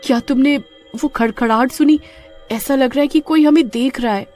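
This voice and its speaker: A woman's voice: sits high, normal speed, dark tone, faint music behind, voice pushed up, lightly acted. A woman playing a cautious, earnest young female adventurer in a dramatic story. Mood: uneasy.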